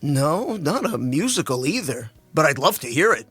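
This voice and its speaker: A man's voice: fairly high pitch, very animated, normal speed, a bit bright, breathy edge, no echo, exaggerated voice. A man playing a genial, affable man in a conversational dramatic reading of a novel. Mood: amused, interested. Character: genial married man, politically minded science fiction fan.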